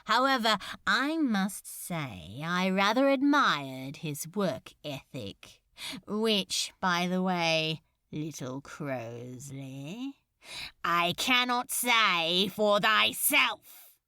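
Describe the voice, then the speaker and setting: A woman's voice: on the low side, sing-song, sharp tone, dry recording, studio quality, full character voice. Woman voicing a languid, menacing male demon lord. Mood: mocking, sinister. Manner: archaic, drawling, deliberate menace. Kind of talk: audiobook character acting.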